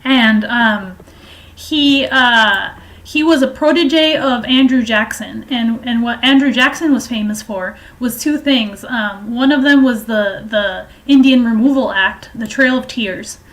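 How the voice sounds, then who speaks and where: A woman giving informal political and historical commentary in a halting, hesitant way, explaining at length. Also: on the high side, fairly bright tone.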